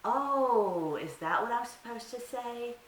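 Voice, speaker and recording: A woman's voice: fairly high pitch, expressive, takes it slowly, voice pushed up. A woman voicing a shy, clever little female deer in a storybook tale, playing innocent. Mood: feigned innocence. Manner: innocent-sounding, feigning cluelessness. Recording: roomy, distant mic, faint hiss.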